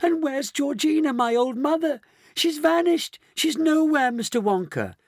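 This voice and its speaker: Man putting on a shrill, hysterical wailing woman. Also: lively intonation, measured pace, no echo, studio quality, exaggerated voice. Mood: distraught. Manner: wailing, frantic. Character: hysterical shrieking middle-aged woman, panicked daughter.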